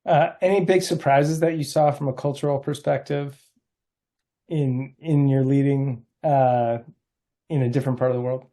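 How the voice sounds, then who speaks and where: A man's voice: mid-range voice, steady tone, normal speed, warm tone. A man hosting an interview over a video call, asking friendly, probing questions. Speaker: interviewer, host.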